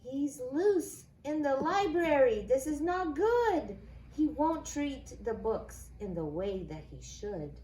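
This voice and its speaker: A woman's voice: sits high, sing-song, slow, mellow, voice pushed up, heavily acted. A woman voicing a bold, determined male gingerbread man from a storybook, with eager, emphatic delivery. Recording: echoey room with background noise.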